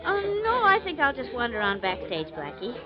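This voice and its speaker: A woman's voice: fairly high pitch, wildly swooping pitch, moderate pace, a crowd in the background. Witty sidekick girlfriend, muffled radio. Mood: teasing. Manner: arch, playful. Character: teasing, devoted, witty girlfriend of a detective. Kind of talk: 1940s radio acting. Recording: muffled vintage 1940s radio recording.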